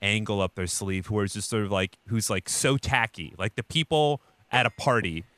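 Bright, low-voiced man rambling on a podcast. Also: normal speed, no echo. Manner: rambling, sweary, colloquial. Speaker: podcast host. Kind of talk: casual comedic news chat.

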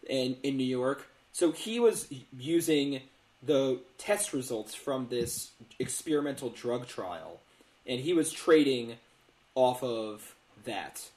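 A man co-hosting a podcast, explaining things casually in a halting but emphatic way, with stammered repeats. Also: mid-range voice, darkish tone. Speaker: podcast co-host. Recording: roomy, echoey sound.

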